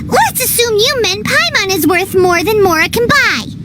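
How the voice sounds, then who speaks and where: A woman voicing a tiny, excitable, cheeky childlike floating companion in a video game, squeaky and exclamatory. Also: moderate pace, very noisy. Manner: exclamatory, refers to self in third person.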